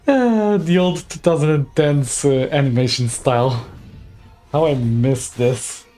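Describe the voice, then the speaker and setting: Man, young, from slovakia, gaming streamer, slow relaxed pace, chatty, frequent laughs, desk mic. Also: medium pitch, soft background music. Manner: chatty, laughs often, reads game text aloud. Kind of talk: casual gaming livestream chat.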